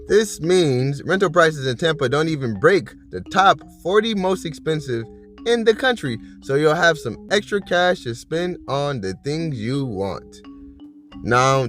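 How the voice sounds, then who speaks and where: A man hosting a casual video, talking loosely with fillers and frequent laughs, reading list items aloud, his voice bassy from just waking up. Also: fairly bright tone. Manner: rambling, laughs often, many fillers. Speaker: vlogger host.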